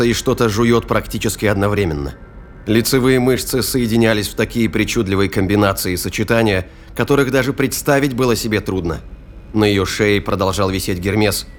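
Low-pitched male audiobook narrator. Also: slight background noise.

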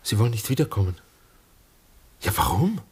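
An actor playing a serious, searching son and brother in a German radio drama, earnest, polite and probing. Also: male voice, on the low side, very animated, moderate pace, a little mellow, breathy edge, exaggerated voice. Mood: probing, troubled.

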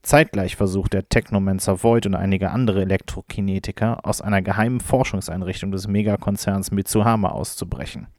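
A man's voice: sits low, steady tone, a little fast, few pauses, darkish tone, no echo, clean studio sound. A man narrating a scripted recap as game master, addressing the listener directly and informally.

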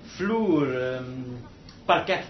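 An actor playing a timid, flustered, deferential orchestra underling in a radio play, speaking hesitantly. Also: male voice, fairly high pitch, lively intonation, drawn-out words, soft background music. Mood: nervous, eager. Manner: hesitant, echoing his superior's words. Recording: echoey, distant, dull recording.

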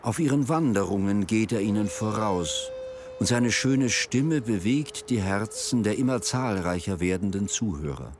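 A man's voice: fairly low pitch, expressive, moderate pace, background noise. A man reading scripted storytelling narration for a radio play. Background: music.

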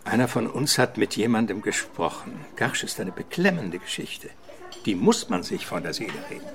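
An actor playing an erudite police deputy, dry and precise, in a radio crime drama. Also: male voice, fairly low pitch, big pitch swings, moderate pace, a little hiss or hum.

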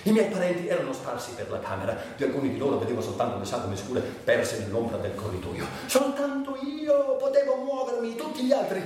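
An actor playing a man who recounts his childhood in vivid, excitable, theatrical literary narration. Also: high-pitched, brisk, hardly any pauses. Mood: dreamy reminiscence. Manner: expressive, dramatic storytelling. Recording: echoey, distant, faint background noise.

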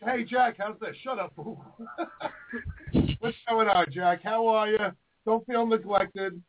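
A man hosting a casual call-in show, loud and jokey with his callers. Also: high voice, slow pace. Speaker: host. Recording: tinny phone-like sound.

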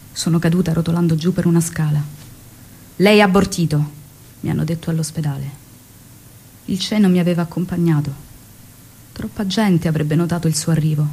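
An actress playing a revolutionary woman in love, recalling her story in a measured, confiding, emotional stage monologue. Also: low-pitched, warm tone, husky. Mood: wistful, wounded. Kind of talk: dramatic first-person stage monologue.

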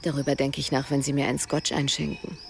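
A woman's voice: a bass voice, completely flat delivery, fast, vocal fry, slight background noise. An actress playing a self-assured, seasoned woman traveller in a radio drama, blunt, cool and knowing. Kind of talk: German radio drama acting.

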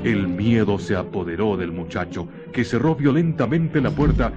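A man narrating a scripted radio horror drama in a deliberate, suspenseful manner with weighted pauses. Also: on the low side, muffled recording. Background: faint music.

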